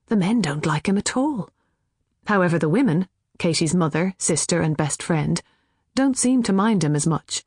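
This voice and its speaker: An Irish woman reading scripted fiction as an audiobook narrator, with an expressive storytelling delivery. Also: low-pitched, dry recording, clean studio sound.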